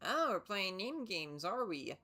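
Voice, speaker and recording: A woman's voice: medium pitch, big pitch swings, measured pace, background noise. A woman giving casual, playful commentary as she livestreams a video game, repeating words as she thinks aloud. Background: game music.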